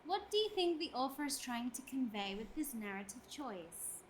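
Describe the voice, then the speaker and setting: A young woman chatting casually with friends in a giggly, halting storytelling way. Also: a little high, sing-song, slight background noise. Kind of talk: casual chat among friends. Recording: music behind the voice. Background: music.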